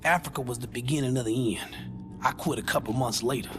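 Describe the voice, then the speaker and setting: An actor playing a wry, principled former soldier with mutant powers in a video game, speaking conversationally. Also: male voice, medium pitch, fast, natural voice. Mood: grave. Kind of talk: video game cutscene acting. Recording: game music behind the voice.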